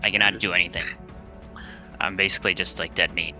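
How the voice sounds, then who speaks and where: A man with a low-pitched voice and a very sharp, bright edge talks casually as a tabletop game player. Faint music runs behind him. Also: steady tone, quick pace. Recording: music under the voice.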